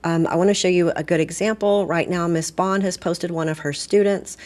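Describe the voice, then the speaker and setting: Female staff trainer, low register, talks through an on-screen walkthrough in plain, conversational, instructive style. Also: fairly even intonation, normal speed, a little mellow. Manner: conversational, explanatory. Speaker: school administrator training staff. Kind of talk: informal software tutorial, step-by-step screen walkthrough.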